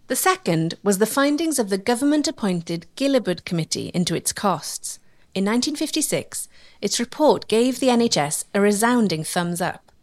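Woman narrating a history documentary. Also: on the low side.

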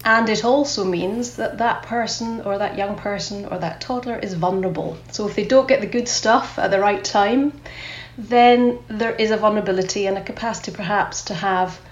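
A woman's voice: medium pitch, moderate pace, roomy sound, dull audio, a little hiss or hum. A woman with a Scottish accent, a clinical psychologist giving thoughtful explanations as a podcast guest, often repeating words as she goes.

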